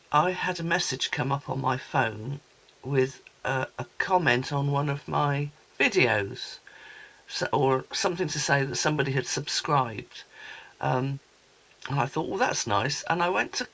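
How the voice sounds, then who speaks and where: A woman with a hoarse, gravelly voice from a sore throat, chatting in a rambling way as she shows off a craft haul. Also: sits low, a bit bright, poor audio. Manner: chatty, rambling, many ums and asides. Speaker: craft vlogger. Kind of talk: casual product haul chat.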